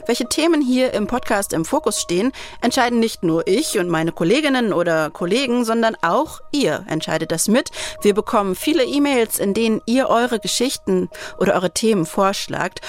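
A woman host guides a documentary podcast with clear, measured narration. Her scripted storytelling runs over soft background music, and she shifts to friendly, direct questions in the interview. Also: mid-range voice.

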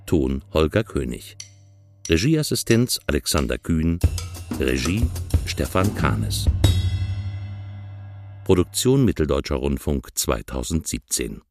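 A man announces radio play credits from a script. His voice is deep and deliberate, with lively intonation and music clearly behind it.

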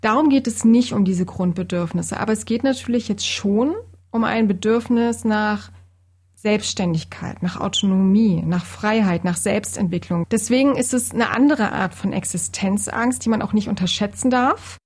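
A woman with a slightly husky voice, talking as a psychologist and explaining things conversationally on a podcast. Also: medium pitch, normal speed, a little mellow.

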